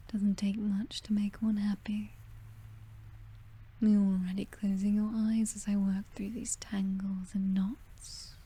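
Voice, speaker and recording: A woman's voice: mid-range voice, breathy edge. A British woman playing a possessive, teasing vampire mistress in an intimate ASMR roleplay, whispering breathily. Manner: slow, teasing, softly commanding.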